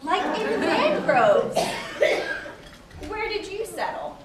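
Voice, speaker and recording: An eager, bookish young explorer from a puppet show, played by a woman, high-pitched and very animated. The voice is distant in an echoey hall, with a crowd around. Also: takes it slowly, heavily acted. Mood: curious. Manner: wide-eyed, animated questioning. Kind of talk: live children's puppet show acting. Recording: echoey hall, distant mic, audience noise.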